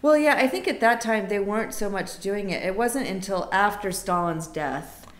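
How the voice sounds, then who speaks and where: A woman guest in an interview, telling stories in an animated, conversational way with quick asides. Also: medium pitch, roomy sound. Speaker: casting director and theatre director, guest.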